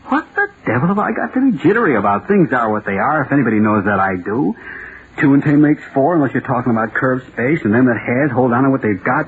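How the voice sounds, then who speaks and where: An actor playing a nervous, self-doubting American writer in an old-time radio drama, talking to himself in a halting, theatrical way. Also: male voice, medium pitch, natural voice. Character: jittery, self-doubting male radio playwright sliding into terror. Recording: tinny, noisy old radio recording.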